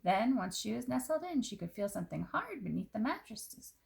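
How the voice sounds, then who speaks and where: A woman reading a children's picture book aloud, in expressive narration with dramatic emphasis on descriptive words. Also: a little high, a little fast, gravelly.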